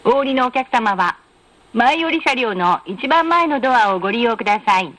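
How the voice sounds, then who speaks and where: A woman reading scripted in-car train announcements in a formal, polite, measured way with careful enunciation. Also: fairly high pitch, expressive, fairly bright tone. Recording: noisy train announcement recording.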